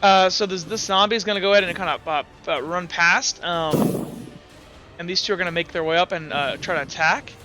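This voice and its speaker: A young man with a high, bright voice runs a tabletop game as game master. He talks in a rambling way, full of fillers and quick self-corrections. Faint music plays behind him. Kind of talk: running a tabletop game online.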